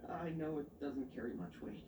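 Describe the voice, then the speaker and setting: An actor playing a guilt-ridden young knight medic in a video game, speaking haltingly and breathily in pleading tones. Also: male voice, a little high, echoey room, far from the mic, background noise, close to an everyday voice. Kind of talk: dramatic video game voice acting.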